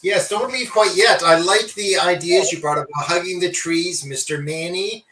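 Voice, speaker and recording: A man's voice: sits high, normal speed, pushed and bright. A man hosting over a video call, chatting and retelling anecdotes to his guest. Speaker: host. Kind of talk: casual chat. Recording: roomy, distant from the mic.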